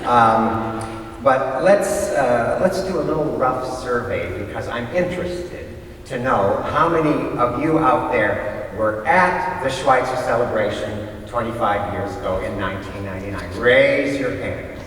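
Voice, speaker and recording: An American man speaking as host of a stage event, introducing it informally in a halting, wry way. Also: medium pitch, animated, a bit bright. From rural Kansas, USA. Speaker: director and host of a readers theater. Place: large echoey room before a live audience. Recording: roomy echoing hall, distant mic, faint noise.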